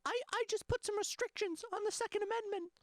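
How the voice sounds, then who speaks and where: A squeaky indignant candidate. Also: male voice, quick pace, dry recording. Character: squeaky-voiced indignant man running for office.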